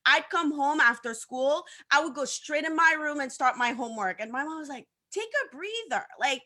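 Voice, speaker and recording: A woman's voice: sits high, animated, normal speed, pushed and bright. A woman in her thirties from Haiti, a guest on a video-call talk show, telling her story in an upbeat, chatty way and laughing often. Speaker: guest, social media coach. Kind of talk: casual talk-show interview.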